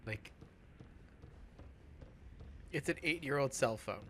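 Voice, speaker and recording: A man of about forty chatting casually over gameplay, rambling and halting, with plenty of swearing. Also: mid-range voice, pushed and bright, slight background noise. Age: around 40. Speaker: host of a gaming let's play podcast.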